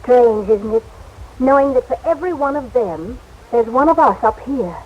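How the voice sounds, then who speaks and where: A woman plays a tender alien wife full of wonder in an old radio play. Her intonation is lively, and the recording is dull with faint hiss. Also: on the high side, normal speed, natural voice. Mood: wondering, sympathetic. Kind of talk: radio drama acting.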